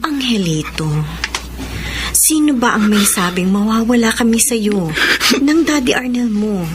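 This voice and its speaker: An actress playing a loving, gently firm adoptive mother in a radio drama, tender and earnest. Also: on the high side, expressive, unhurried, background noise. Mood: tender, reassuring. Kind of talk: Filipino radio drama acting.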